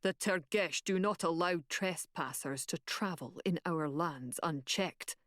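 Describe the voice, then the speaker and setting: A stern, imperious warrior chief with a lowered voice, as a female narrator puts him on, handing down each word slowly and heavily. The sound is dry and close, with no room around it. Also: fairly bright tone, no echo. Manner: slow, imperious pronouncements. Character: stern, commanding bearded male warrior chief.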